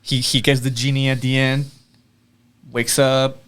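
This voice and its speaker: A man's voice: medium pitch, flat delivery, a little slow, a bit bright, smooth. A man in a casual podcast chat, joking and swearing in loose slang.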